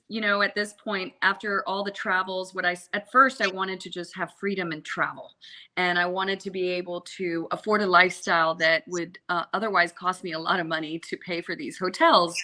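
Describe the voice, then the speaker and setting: A woman's voice: fairly low pitch, sharp tone. A woman with an American accent, originally from the Seychelles, telling her own story as a podcast guest in a chatty, earnest, meandering way. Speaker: podcast guest, travel journalist and social media consultant. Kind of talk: conversational interview, personal storytelling.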